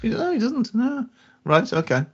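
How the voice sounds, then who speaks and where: A man's voice: very high voice, big pitch swings, a little slow, a bit bright, slightly rough, highs cut off. A man co-hosting a casual comedy podcast, hesitant, with many stammered restarts and giggles. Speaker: podcast co-host. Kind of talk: casual banter.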